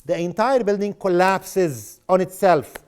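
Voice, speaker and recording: A middle-aged man from South Lebanon lectures an audience with a notably high voice. His delivery is impassioned and sarcastic. He keeps throwing rhetorical questions at the listeners. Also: a little slow, darkish tone, smooth. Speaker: guest speaker. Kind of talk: public lecture.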